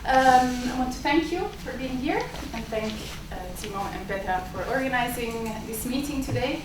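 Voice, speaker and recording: A woman's voice: on the high side, expressive, moderate pace, noisy background. A woman whose first language is Italian, giving hesitant opening remarks at an academic symposium, with many filler sounds. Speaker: symposium host and lab director. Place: university seminar room. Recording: echoey lecture room, mic far off.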